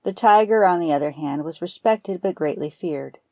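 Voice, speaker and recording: Low-pitched woman narrating a folktale. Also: normal speed, a little mellow, no echo, highs cut off. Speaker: podcast storyteller.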